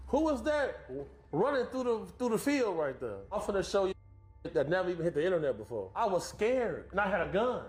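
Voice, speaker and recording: A man telling a story casually and excitedly in a played clip. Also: sits high, brisk, soft background music.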